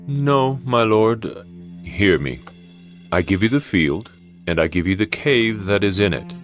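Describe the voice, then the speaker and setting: An actor playing a dignified, courteous ancient landowner in a dramatized scripture recording, measured and deferential yet emphatic. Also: male voice, lowish voice, animated, fairly bright tone, faint music behind. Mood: insistent, generous. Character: courteous male Hittite landowner, generous and dignified. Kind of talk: dramatized scripture audio acting.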